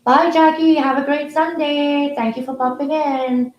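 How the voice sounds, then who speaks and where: A woman hosting a casual live audio room, talking in a relaxed, musing way with frequent fillers. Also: sits high, unhurried, echoey room, highs cut off. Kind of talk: casual live-stream chat.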